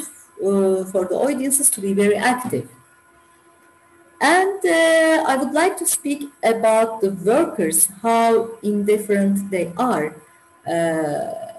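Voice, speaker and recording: A woman with a Turkish accent hosting a festival interview, speaking haltingly with many fillers and formal addresses. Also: medium pitch, darkish tone, a little hiss or hum. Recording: echoey room with faint music.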